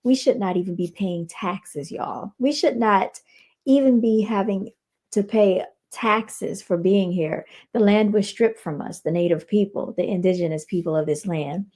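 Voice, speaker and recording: A woman's voice: mid-range voice, unhurried, a little mellow. Woman, American accent, commentary host, casual reaction style, emphatic, opinionated delivery. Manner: opinionated, emphatic, repeats points for stress.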